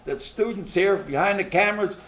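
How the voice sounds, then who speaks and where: A man in his nineties hosts a small studio talk show, seated at a table. He talks in a rambling, folksy way, full of fillers and restarts, with a voice a touch higher than most men. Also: a little fast, a bit bright. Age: 90s. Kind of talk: casual interview chat.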